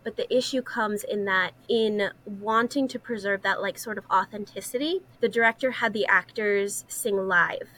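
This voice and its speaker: A woman's voice: medium pitch, moderate pace, few pauses, pushed and bright, poor audio. A young adult woman speaking as a podcast guest in casual, opinionated discussion.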